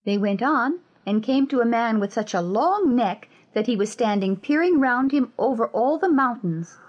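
A woman's voice: a little high, lively intonation, normal speed, dull audio. A woman narrating a dramatized fairy-tale audiobook in a scripted storytelling style.

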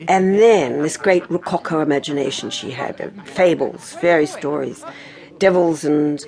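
A woman with a gravelly, husky voice, reflecting appreciatively as a documentary interviewee. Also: sits low, normal speed, a little mellow.

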